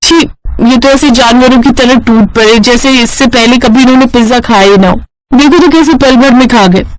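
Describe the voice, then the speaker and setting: A woman's voice: fairly high pitch, normal speed, dry recording, voice pushed up, natural voice, poor audio. A woman voicing an exasperated young newlywed bride in a cartoon, whining and incredulous. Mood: disgusted, grumbling.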